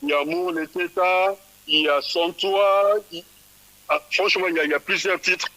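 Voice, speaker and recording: Bright-voiced man, halting speech. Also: high voice, fairly even intonation, rough recording. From Kinshasa, DR Congo. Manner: stumbles and repeats small words while thinking. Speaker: musician guest. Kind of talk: radio interview.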